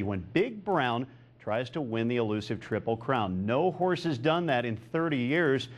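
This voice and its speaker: A man anchoring television sports news, reading scripted copy in a florid, alliterative broadcast style. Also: mid-range voice.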